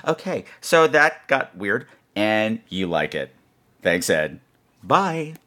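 Playful, campy man with swooping, lively pitch. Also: takes it slowly. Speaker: podcast host. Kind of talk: casual chat answering listener voicemails.

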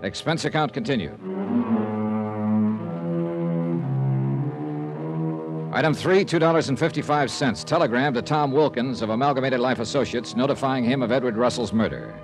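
An actor playing a wry, dogged insurance investigator in a 1950s radio drama, narrating and wisecracking in a dry manner. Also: male voice, medium pitch, lively intonation, quick pace, hardly any pauses, music in the background, lightly acted. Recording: dry old radio recording.

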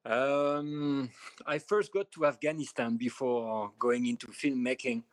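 A man from France with a French accent, guesting on a podcast interview and answering in a measured way, pausing often with small fillers. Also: mid-range voice, no echo. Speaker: film director guest.

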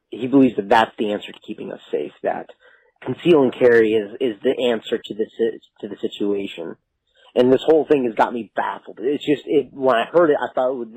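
A man leaving a rambling voicemail as a caller, halting and correcting himself, with some swearing. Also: lowish voice, darkish tone, slightly rough, no echo, low-quality recording. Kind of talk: casual, rambling voicemail commentary.

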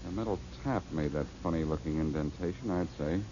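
A man's voice: very deep voice, fairly quick, dark tone, slight background noise. An actor playing a wisecracking male detective in a 1940s radio drama, on a muffled old broadcast. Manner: breezy, wisecracking. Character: Boston Blackie, wisecracking adult male detective.

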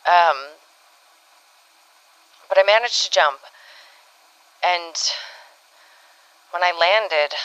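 A woman's voice: on the low side, a bit bright, a little hiss or hum, low-quality recording. A woman playing a weary time-travelling agent, recording a hesitant field log in an improvised audio drama. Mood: shaken, weary. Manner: halting, hesitant, searching for words.

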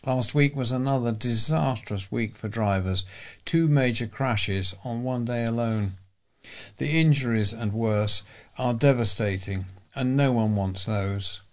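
A British man reading local news and letters aloud as a volunteer, in a measured way. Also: on the low side.

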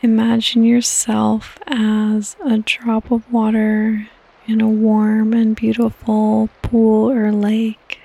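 A woman hosting a guided sleep meditation in a soft, breathy, partly whispered voice, with calm, gentle instructions and long pauses. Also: on the high side, poor audio. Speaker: podcast host and meditation guide.

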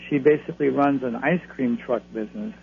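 A man's voice: medium pitch, fairly quick, creaky. A man giving astrology readings to radio callers in a reassuring, advisory way. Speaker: astrologer guest. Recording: phone line, faint hum.

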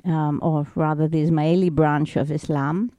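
A woman from Switzerland whose first language was Thai, answering interview questions in a measured, recounting way. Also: low voice, fairly even intonation, warm tone, no echo, lo-fi sound. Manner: measured, recounting, with small restarts. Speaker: guest, spiritual coach.

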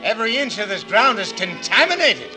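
An actor playing a dedicated French scientist in a measured, theatrical 1940s radio drama style that can swell to urgency. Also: male voice, high voice, sing-song, full character voice. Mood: urgent, outraged. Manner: measured, deliberate, bursting into urgent shouts. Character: impassioned, dedicated French male scientist, no longer young. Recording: vintage 1940s radio broadcast with music.

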